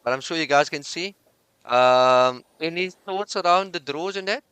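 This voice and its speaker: A man hosting a casual sports podcast, chatty and relaxed, thinking aloud as he moves through topics. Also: a little high, normal speed, clear, resonant tone, no echo. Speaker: podcast host.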